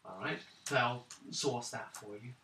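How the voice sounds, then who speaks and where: A man's voice: mid-range voice, a little slow. A man acting as game master, improvising narration for a tabletop role-playing game. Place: indoor room around a gaming table. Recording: echoey room, distant mic, noticeable background noise.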